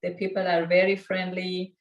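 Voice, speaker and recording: A woman who grew up in Sweden, a guest on a video call interview, speaking earnestly in halting phrases with many restarts. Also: fairly low pitch, completely flat delivery. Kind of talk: casual interview conversation. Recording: roomy echo.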